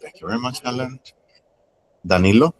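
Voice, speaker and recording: A man's voice: medium pitch, normal speed, a little hiss or hum. A male English teacher calling students by name in a class and thanking each one briefly.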